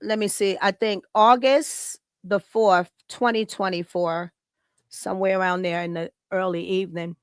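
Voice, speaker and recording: American woman host, smooth dry sound. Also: medium pitch, fairly even intonation, moderate pace, no echo. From Chicago, United States. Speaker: podcast host. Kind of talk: casual tribute talk, partly read aloud.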